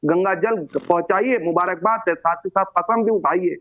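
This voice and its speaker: A man's voice: high-pitched, normal speed, faint music behind. Phone-like, oratorical male debate panelist. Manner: oratorical, builds repeated parallel phrases. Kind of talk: rhetorical speech on a TV debate. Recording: thin, narrow phone-like remote feed.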